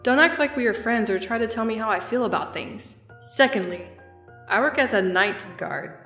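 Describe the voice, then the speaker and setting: A woman's voice: fairly high pitch, animated, moderate pace, fairly bright tone. A woman voicing a curt, irritable night security guard in a comic dub, blunt and dismissive in manner. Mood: defensive, blunt. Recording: echoey, faint music behind.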